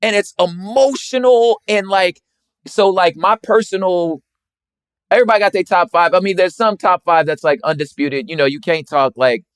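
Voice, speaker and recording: A man's voice: sits high, normal speed, clean tone, dry recording. An American man chatting casually about music in an emphatic, slangy way, often repeating his phrases. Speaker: rapper and poet, guest.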